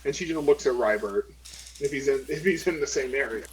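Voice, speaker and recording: A man's voice: mid-range voice, brisk, slight background noise, low-quality recording. A man chatting and role-playing casually as a player in a tabletop game.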